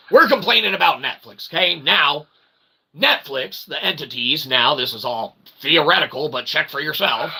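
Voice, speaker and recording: A man's voice: a little high, gravelly, sharp tone, slight background noise, poor audio. A man hosting a livestream rant, profane, emphatic and repetitive, with wordy, mock-formal phrasing. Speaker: host of a livestream rant show.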